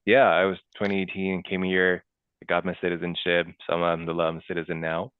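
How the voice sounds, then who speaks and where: Man, deep smooth voice, chatty guest, thin phone-like call audio. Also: completely flat delivery, fast talker, no room sound. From Pakistan. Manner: chatty, leans on "you know" and "right". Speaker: guest accountant. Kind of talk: podcast interview. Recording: tinny dry call audio.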